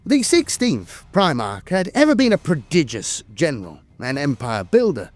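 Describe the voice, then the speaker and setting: A man's voice: a little high, wildly swooping pitch, moderate pace. A man narrating scripted lore as a storyteller, with a grave, dramatic delivery.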